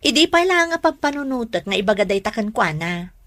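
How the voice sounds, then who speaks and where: A woman's voice: mid-range voice, very animated, fairly quick, a bit bright, no room sound. A woman playing a nosy, worried friend in a radio drama, with an Ilocano accent. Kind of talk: Filipino radio drama acting.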